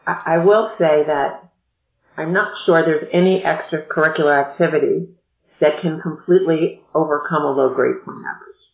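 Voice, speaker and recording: A woman educational consultant explaining college admissions in a measured, explanatory way during a podcast interview. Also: deep voice. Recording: echoey, tinny phone-like line.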